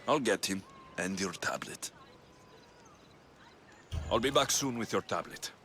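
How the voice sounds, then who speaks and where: Low, sharp-voiced sardonic male mercenary. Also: lively intonation, unhurried, slight background noise, full character voice. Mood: firm. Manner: blunt, sardonic, menacing. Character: tough, wry male Greek mercenary for hire. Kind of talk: video game cutscene acting.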